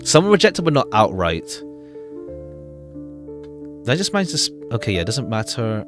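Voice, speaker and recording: A man's voice: on the low side, sing-song, brisk. A man giving casual commentary as he plays a visual novel, rambling and repeating phrases as he thinks aloud. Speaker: streamer playing a visual novel. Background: game music.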